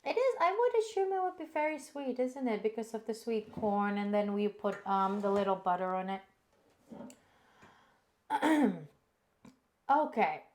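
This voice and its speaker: A woman's voice: on the high side, sing-song, unhurried, long pauses. A woman with an Indonesian accent co-hosting a cooking stream, chatting casually and reading viewer comments aloud with fillers and laughs.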